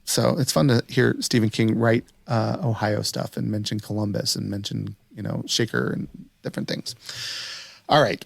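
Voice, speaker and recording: A man's voice: lowish voice, steady tone, moderate pace, vocal fry, no room sound. A man co-hosting a podcast, chatting casually about shows in a conversational way. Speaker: podcast co-host.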